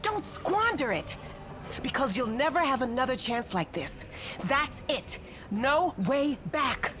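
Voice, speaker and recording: A righteous woman adventurer from a fantasy game, talks in a fairly high voice. She is blunt, urgent and scolding. Loud music runs behind her. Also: sing-song, takes it slowly, full character voice. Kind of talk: video game voice acting.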